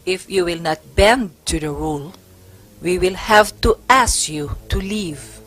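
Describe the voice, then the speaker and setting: A woman playing a devout, firm but courteous nun prioress in a stage play, with measured, solemn delivery. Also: lowish voice, fairly bright tone, husky, soft background music. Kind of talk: amateur stage play acting.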